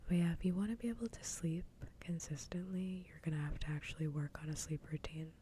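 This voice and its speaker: Low whispering woman doing cozy ASMR. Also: steady tone, fast talker, slight background noise. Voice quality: soft, breathy whisper. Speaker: livestream host. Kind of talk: whispered ASMR, casual chat. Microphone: close ASMR microphone.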